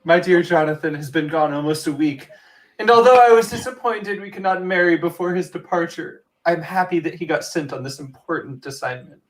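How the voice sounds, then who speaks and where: A man's voice: a little high, steady tone, fairly quick, lightly acted. A man playing a wistful, devoted young Victorian fiancée, reading a diary entry in an amateur drama. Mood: wistful, longing. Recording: distant from the microphone.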